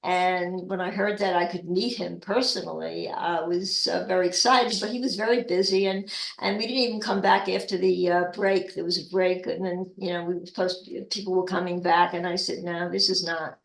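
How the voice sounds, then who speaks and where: Older woman, gravelly and reflective. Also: fairly low pitch, fairly even intonation, echoey room, poor audio. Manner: halting, reflective, many restarts. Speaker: group member sharing reflections. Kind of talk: casual spiritual discussion. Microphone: online video call.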